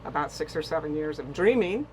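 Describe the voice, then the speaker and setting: A woman's voice: low-pitched, animated, fairly quick, slight background noise, rough recording. An older woman from the Bahamas, chatting casually in an interview aboard a small boat, telling stories with many fillers. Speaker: guest boater.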